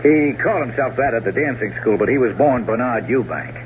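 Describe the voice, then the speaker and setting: An actor playing a plain-spoken, probing police lieutenant, hesitant and apologetic, in a 1970s radio drama. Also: male voice, medium pitch, lively intonation, a little fast, lightly acted. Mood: tentative, explanatory. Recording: muffled, faintly noisy old radio broadcast.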